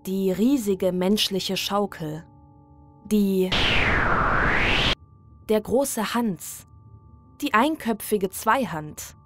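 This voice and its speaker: A woman narrating a scripted horror story in a measured, dramatic delivery. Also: medium pitch. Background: music.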